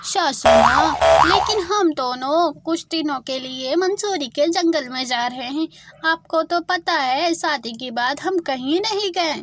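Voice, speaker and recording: Woman voicing a high-pitched, firm young crow wife. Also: very animated, unhurried, a bit bright, a little hiss or hum, full character voice. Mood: reassuring. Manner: polite, composed, pointed. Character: young married female crow, polite but firm daughter-in-law. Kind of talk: children's cartoon story voice.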